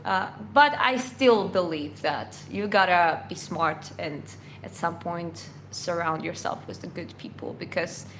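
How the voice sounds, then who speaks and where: A woman's voice: fairly low pitch, measured pace, a bit bright, a little hiss or hum. A Georgian woman with an Eastern European accent, whose first language is Georgian, hosting a casual chat Q&A and answering viewer questions in a loose, rambling way with lots of fillers and restarts.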